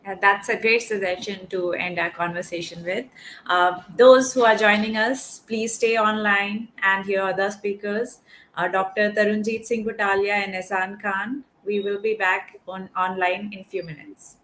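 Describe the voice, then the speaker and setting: A woman's voice: medium pitch, steady tone, normal speed, a bit bright, slight background noise, poor audio. A woman moderating an online event, thanking the guest politely with some hesitant fillers. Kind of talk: closing remarks at a virtual event. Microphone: video call.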